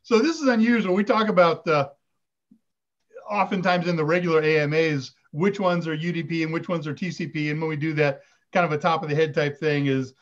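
Older male host, high voice, dull video-call sound, casual Q&A chat. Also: a little fast. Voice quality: fairly high voice. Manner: relaxed, chatty, reads viewer questions aloud. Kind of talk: live stream Q&A chat. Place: home room with curtains. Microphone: video call webcam.

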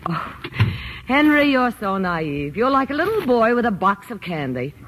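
An actress playing a wealthy, possessive, high-strung invalid wife in a melodramatic, imperious 1940s radio style. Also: female voice, sing-song, moderate pace, a bit bright. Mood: scornful, accusing. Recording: noisy old 1940s radio recording.

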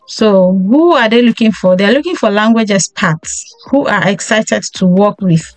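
A woman's voice: mid-range voice, no room sound, rough recording. A woman hosting a career tips channel, walking through a job listing on screen and reading its lists aloud with occasional ums and restarts. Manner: reads items in list form, with frequent ums and restarts. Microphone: close desk mic, voice-over on a screen recording.

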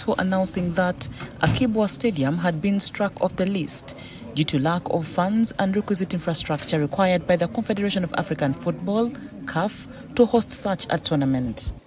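A news reporter delivering a formal, even voice-over for a TV news report. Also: male voice, high voice, moderate pace.

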